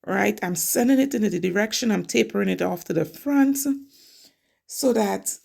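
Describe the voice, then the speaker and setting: Gravelly-voiced woman chatting through a nail tutorial. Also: medium pitch, moderate pace, darkish tone. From Trinidad and Tobago. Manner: chatty, explains each step as she works. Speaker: nail technician. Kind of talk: casual nail tutorial narration.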